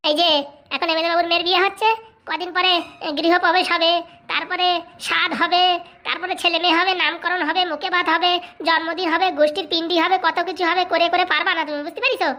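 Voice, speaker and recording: A woman's voice: very high voice, flat delivery, pushed and bright. A woman voicing a cunning, boastful young male political fixer in a comic cartoon, brash and bossy, with a rural Bengali accent. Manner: brash, bossy, fast-talking.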